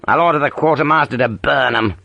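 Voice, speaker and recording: A man's voice: mid-range voice, quick pace, gravelly, no echo, highs cut off, voice pushed up, natural voice. A male narrator voicing a hot-tempered army officer who spits out curses. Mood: irritated. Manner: cursing, spitting words out.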